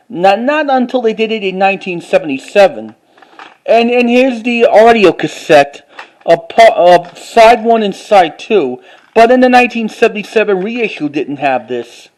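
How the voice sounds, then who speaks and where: High-voiced man in a halting show-and-tell. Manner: halting, repetitive, informal delivery. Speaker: collector showing items to camera. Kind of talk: casual show-and-tell vlog.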